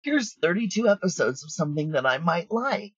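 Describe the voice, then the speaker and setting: A woman's voice: on the low side, sing-song, fairly quick, breathy edge, no room sound, highs cut off. A woman chatting frankly and practically as an interview guest about her creative work. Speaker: audio drama writer and producer, interview guest. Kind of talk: casual interview chat.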